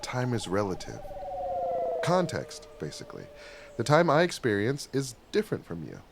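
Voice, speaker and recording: A man acting a gentle, bookish middle-aged father in a stage play, explaining things patiently and tenderly, sometimes haltingly. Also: mid-range voice, huge pitch swings, gravelly, very noisy. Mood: playful, explaining.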